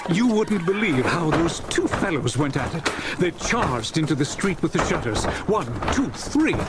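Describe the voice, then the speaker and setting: A man's voice: a little high, big pitch swings, moderate pace, very noisy. A man narrating a dramatized storybook in a theatrical, jovial manner. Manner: theatrical, jovial, relishing lists. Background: music.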